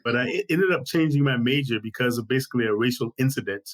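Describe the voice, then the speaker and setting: A man, an anti-racism trainer speaking as a guest from home, measured and articulate. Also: medium pitch. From Chicago. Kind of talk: interview. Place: home, during lockdown.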